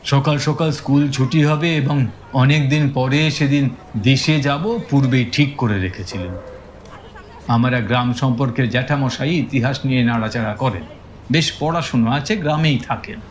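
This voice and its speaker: A man playing a village schoolteacher who recounts his own eerie experience in measured, hesitant audio-story narration. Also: mid-range voice, slight background noise, close to an everyday voice. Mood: reflective. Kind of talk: dramatised first-person audio story narration. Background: music.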